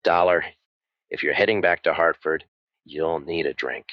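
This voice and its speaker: A man playing a wry, world-weary hard-boiled private detective in an old-time radio drama, with deadpan delivery. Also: very deep voice, normal speed, dry recording. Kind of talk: old-time radio noir narration and acting. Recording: muffled audio with music underneath.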